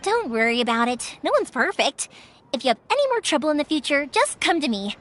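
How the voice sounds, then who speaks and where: A woman voicing a cheerful, eager young fireworks maker in a video game, expressive and chatty, with music playing behind. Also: high voice, a little fast, a little hiss or hum. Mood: warm, reassuring. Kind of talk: scripted video game voice acting.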